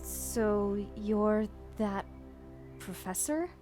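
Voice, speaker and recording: A woman's voice: medium pitch, drawn-out words, mellow, faint music behind. A woman playing a loyal, protective figure caught up in a frightening adventure, earnest and emotional, in a sci-fi audio drama. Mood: shaken, wary.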